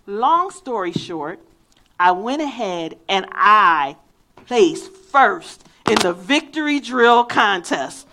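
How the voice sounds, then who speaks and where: Slow-speaking woman preacher, faint Philadelphia accent. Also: medium pitch, sing-song, sharp tone. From Philadelphia, USA. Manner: earnest, emphatic, unhurried. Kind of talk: sermon with personal storytelling.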